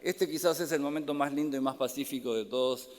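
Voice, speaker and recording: A man from Córdoba, Argentina, with a Córdoba accent, preaching a sermon as a pastor in a gentle way, pausing often on "eh". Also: mid-range voice, steady tone. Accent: Argentine Spanish, Córdoba. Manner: gentle, warm-hearted, many filler "eh" pauses. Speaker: pastor and Bible professor. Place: large church hall. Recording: long hall reverb.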